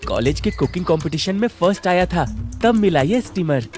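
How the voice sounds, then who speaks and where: A man's voice: high voice, lively intonation, a little fast, darkish tone, soft background music. A man voicing an earnest, proud young village chef in a cartoon story, eager to explain and reassure.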